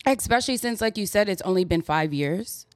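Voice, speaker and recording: A woman's voice: medium pitch, expressive, fairly quick, no echo, studio quality. A woman hosting a podcast, asking questions casually and backing up her guest with frequent affirmations. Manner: affirming, backchannels a lot. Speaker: podcast host. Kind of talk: casual interview chat.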